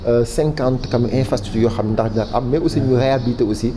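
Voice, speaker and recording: A man with a Senegalese accent giving formal interview answers as a project official, halting often and repeating words. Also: mid-range voice. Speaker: official spokesman for a public waste management project. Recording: clearly noisy background ambience.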